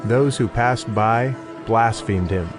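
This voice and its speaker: A man with a fairly low voice reads scripture as a narrator. His delivery is scripted storytelling, and music plays clearly behind him. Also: slow pace. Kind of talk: scripted audio Bible narration.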